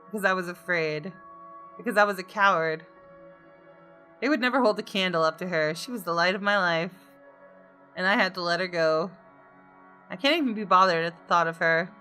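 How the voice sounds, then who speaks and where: A woman's voice: on the low side, moderate pace, long pauses, bright, forceful tone. A woman reading the first-person narration of a jaded, guilt-ridden woman in a visual novel, in a dramatic confessional manner. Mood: wistful. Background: guitar game music.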